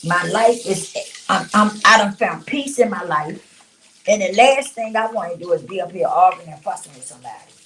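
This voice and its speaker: A woman's voice: lowish voice, normal speed, fairly bright tone, distant mic, a little hiss or hum. A woman in her fifties talking bluntly and casually on a livestream, swearing freely and laughing often. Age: 50s. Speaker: livestream host. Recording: roomy sound, speaking away from the mic.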